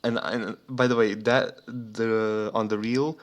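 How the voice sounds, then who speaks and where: Young male podcast co-host, slightly low pitch, profane, meandering, off-the-cuff delivery. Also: flat delivery, slow pace. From Serbia. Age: young adult. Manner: rambling, profane. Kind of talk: casual motorsport podcast chat. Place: remote voice chat.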